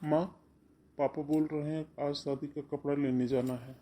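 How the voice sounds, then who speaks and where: An impatient young husband snaps back in a mellow, dark voice, voiced by a man. His speech is brusque and irritated, straight out of a cartoon family story. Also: a little high, little pitch movement, normal speed, lightly acted. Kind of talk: cartoon voice acting.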